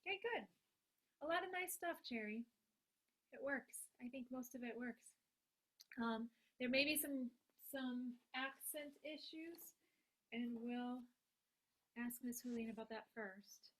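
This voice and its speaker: A woman's voice: fairly high pitch, a little slow, pauses often, fairly bright tone, rough recording. A woman teaching a composition class online, giving encouraging and chatty feedback.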